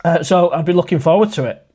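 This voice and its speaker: A man's voice: on the high side, a little fast, mellow, breathy edge. A man, hosting a casual live stream and chatting in a friendly way as he reads out viewer comments. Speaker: livestream host.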